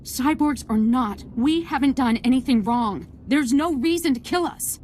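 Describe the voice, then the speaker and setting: A woman's voice: high-pitched, unhurried, darkish tone, a little hiss or hum. A woman playing a frightened civilian who pleads earnestly for her group in a video game cutscene. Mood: pleading.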